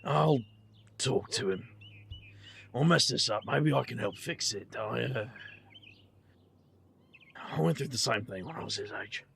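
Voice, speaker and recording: A man playing a blunt, protective outsider and awkward mentor in an audio drama, halting but earnest. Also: medium pitch, expressive, creaky, fairly bright tone, a little hiss or hum, full character voice. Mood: determined, uneasy.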